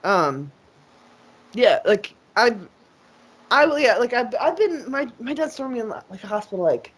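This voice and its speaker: A woman's voice: on the high side, big pitch swings, unhurried. Chatty woman rambling with heavy fillers. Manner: rambling, profane, frequent fillers and restarts. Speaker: interview guest. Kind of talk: casual conversation.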